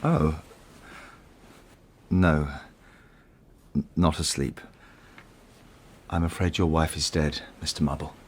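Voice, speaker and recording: A man playing a grave, measured family doctor in a radio drama, with a clinical manner. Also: a bass voice, steady tone, husky, heavily acted. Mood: grave, sympathetic. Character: calm, grave, matter-of-fact family doctor.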